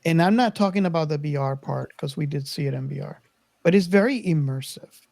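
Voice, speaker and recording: Casual film-podcast co-host, mid-pitched and hesitant, feeling for the right word, recorded dry. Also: fairly quick, vocal fry, a little mellow, dry recording. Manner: hesitant, searching for words.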